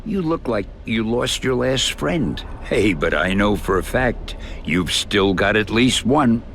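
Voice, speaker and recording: An actor playing a kindly, folksy old mentor in a video game, gravelly and encouraging. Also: male voice, fairly low pitch, expressive, slow, a bit bright, slight background noise, heavily acted. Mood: gentle, encouraging. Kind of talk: video game dramatic acting.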